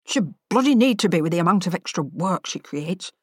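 A woman's voice: on the low side, animated, brisk, breathy edge, no echo, clean studio sound. A woman voicing a burly, grumbling middle-aged male chef who mutters his complaints. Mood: muttering.

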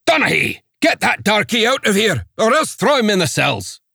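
A man voicing a gruff, domineering Scottish magistrate who barks his words. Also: high-pitched, sing-song, normal speed, bright, forceful tone, no room sound, clean studio sound, pitched above the performer's own voice, exaggerated voice. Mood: barking. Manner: barking, domineering. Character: gruff blustering older male Scottish magistrate.

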